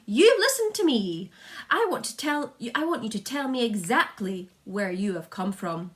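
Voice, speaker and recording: A blustering storybook chief of police played by a woman reading aloud. He shouts his commands in an alarmed, excitable way, with the pitch pushed up. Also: normal speed. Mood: demanding. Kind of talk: children's storybook character voice.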